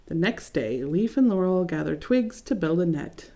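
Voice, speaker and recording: A young adult woman reading a picture book aloud to children, gently commenting and asking them questions. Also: lowish voice, lively intonation, moderate pace. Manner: gentle, asks listeners questions, adds comments. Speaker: children's story reader.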